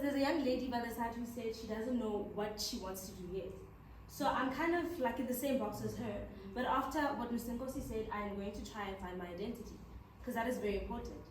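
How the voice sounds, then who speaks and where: Hesitant young woman in an echoing hall. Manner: hesitant, lowers her voice. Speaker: audience volunteer. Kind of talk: short impromptu speech to a crowd. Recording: distant voice in an echoey hall with noise.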